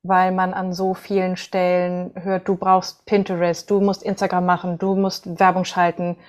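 A woman's voice: on the low side, completely flat delivery, moderate pace. A woman from northern Germany, a voice coach and singer, answering conversationally as a podcast guest.

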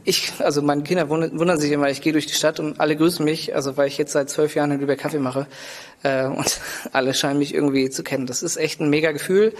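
Coffee guy from germany, bit high voice, lots of ums, long hall echo behind him. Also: fairly even intonation, fast. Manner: hesitant, many fillers, enthusiastic. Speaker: coffee roaster, guest. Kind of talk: casual interview. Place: large building site hall.

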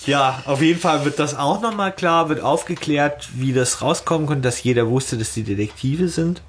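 A man's voice: on the high side, moderate pace, a little hiss or hum. A man co-hosting a podcast, chatting casually and colloquially as he retells and discusses a story. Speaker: podcast host. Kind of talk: casual chat retelling and discussing an audio drama.

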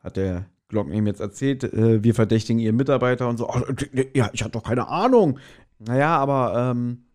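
Casual man chatting, medium pitch. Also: a little fast, a little mellow. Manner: colloquial, slangy, acting out quoted lines. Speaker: podcast host. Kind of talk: casual chat, retelling an audio drama.

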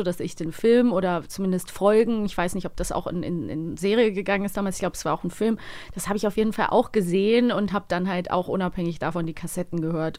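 A woman's voice: medium pitch, no echo. A woman chatting casually as co-host of a podcast. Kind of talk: casual chat.

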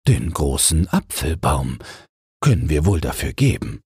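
A man's voice: a bass voice, sing-song, a little slow, a little mellow, breathy edge, no room sound, studio quality, heavily acted. A man voicing a humble, fearful miller and father in a fairy tale, earnest and pleading.

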